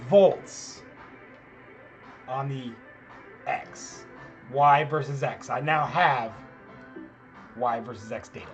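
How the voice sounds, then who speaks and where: Worn-voiced professor giving a casual tutorial. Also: male voice, medium pitch, takes it slowly, long pauses, faint music behind. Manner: casual, rambling, self-deprecating. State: voice getting worn out. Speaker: chemistry professor. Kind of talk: screen-recorded lab tutorial.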